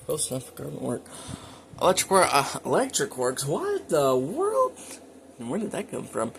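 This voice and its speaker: A man's voice: fairly high pitch, wildly swooping pitch, normal speed, gravelly. Folksy guy, noisy home recording. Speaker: hobbyist showing a collection. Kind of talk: casual show-and-tell narration. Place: indoors at home. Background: weather radios playing, background noise.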